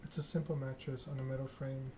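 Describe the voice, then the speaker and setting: A man narrating game text aloud in an ASMR style, in deliberate short phrases with the odd misreading. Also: mid-range voice, level tone, dark tone, a little hiss or hum. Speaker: video game streamer reading a story game aloud.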